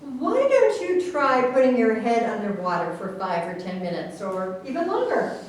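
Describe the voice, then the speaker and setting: Mediating academic official played by a woman, animated, admonishing delivery; stage reading in a very echoey room. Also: fairly high pitch, moderate pace, recorded from a distance. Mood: wry. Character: composed, chiding female professor and presidential aide. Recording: echoey, noisy room recorded from afar.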